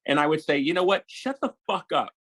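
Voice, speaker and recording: Husky man telling a sweary anecdote. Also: on the high side, expressive, brisk, fairly bright tone. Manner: emphatic, sweary, acts out quoted dialogue.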